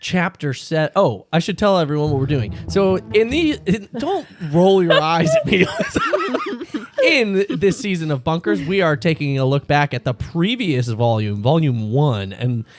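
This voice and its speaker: A man's voice: a little high, huge pitch swings, measured pace. A man hosting a casual podcast, teasing his friends and running a trivia segment. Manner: teasing, playful. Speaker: podcast host.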